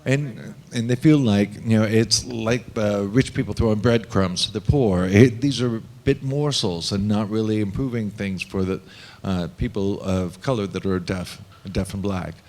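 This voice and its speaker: A man speaking at a panel discussion, voicing for a panelist in a deliberate, reflective way with pauses. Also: lowish voice, a little mellow.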